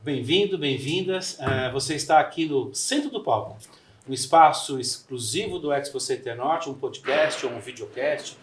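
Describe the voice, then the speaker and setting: A man's voice: medium pitch, normal speed, a little hiss or hum. A middle-aged man with a Brazilian Portuguese accent hosting a podcast interview, effusive and friendly, with frequent restarts. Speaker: podcast host.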